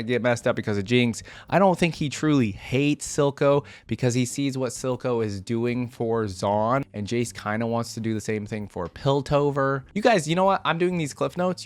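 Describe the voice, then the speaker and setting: A man's voice: medium pitch, smooth, no room sound. A man giving casual, off-the-cuff commentary as a video host, informal and hesitant as he thinks aloud.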